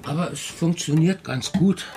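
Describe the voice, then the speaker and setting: A man acting a restless, playful father in a children's radio play, musing and gently evasive as he banters. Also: a little high, normal speed, natural voice. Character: restless, playful father talking with his young daughter. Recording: studio radio play with music.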